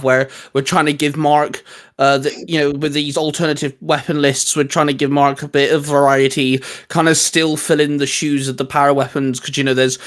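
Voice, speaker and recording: A man's voice: on the high side, a bit bright. A man hosting a casual, geeky hobby chat, rambling along with plenty of "you know". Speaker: host of a hobby discussion show.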